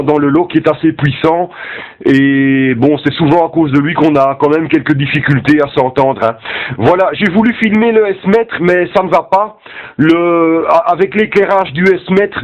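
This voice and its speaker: A man with a Belgian French accent chatting casually as an amateur radio operator, with a hesitant, halting delivery. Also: fairly high pitch, highs cut off. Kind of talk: casual ham radio chat.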